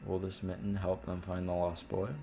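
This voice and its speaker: A man's voice: deep voice, monotone, moderate pace, vocal fry. A man streaming a visual novel, reading its horror narration aloud expressively and dropping in casual asides. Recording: loud music under the voice. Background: game music.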